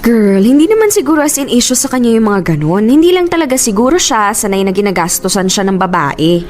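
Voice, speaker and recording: Woman acting bubbly, lively high-voiced best friend. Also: a little fast, faint music behind. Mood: reassuring. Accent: Filipino. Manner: chatty, animated, sympathetic. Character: bubbly, supportive young best girlfriend giving advice. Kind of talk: Tagalog radio drama acting.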